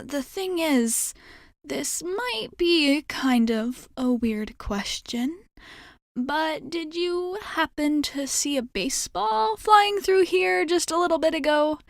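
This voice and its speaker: A woman's voice: high-pitched, dry recording, clean studio sound, exaggerated voice. A woman playing a sporty schoolgirl in an ASMR roleplay, breezy and blunt yet sheepish, rambling casually and backtracking hesitantly. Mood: awkward, casual. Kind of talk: ASMR roleplay acting, one-sided dialogue.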